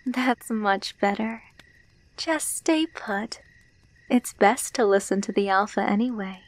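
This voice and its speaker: A woman's voice: medium pitch, slow pace, no echo, close to an everyday voice. A woman playing a menacing, possessive female alpha werewolf, teasing and domineering in an ASMR roleplay, dropping into whispers. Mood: cold menace.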